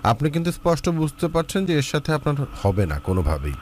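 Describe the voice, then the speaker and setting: Blunt, fast-talking male advice host. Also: fairly high pitch, very animated, darkish tone, a little hiss or hum. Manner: blunt, impatient questioning. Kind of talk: counseling a caller.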